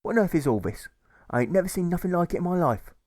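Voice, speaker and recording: A man's voice: sits high, animated, quick pace, a little mellow, no room sound, clean studio sound, voice pushed up. A man voicing a big-headed, exclamatory male army deserter in an audiobook story. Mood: indignant. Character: big-headed, indignant male deserter turned awestruck follower.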